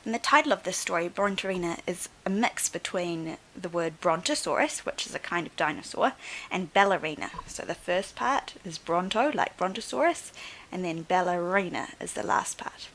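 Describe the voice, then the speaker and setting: A woman teacher reading a storybook aloud to young children in an expressive, instructive way. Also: on the low side, moderate pace, slight background noise, poor audio. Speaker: primary school teacher.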